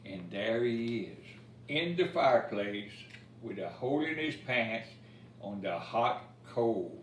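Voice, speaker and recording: Slow-paced man reading aloud in a roomy space. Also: on the low side. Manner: expressive storytelling, pausing to point out pictures. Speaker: story time reader. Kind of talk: read-aloud picture book in Cajun dialect verse with asides. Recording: roomy, distant mic with faint noise.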